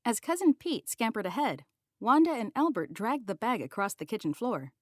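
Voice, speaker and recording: A woman's voice: fairly high pitch, very animated, moderate pace, no echo, clean studio sound. A woman narrating a children's picture book as a read-aloud.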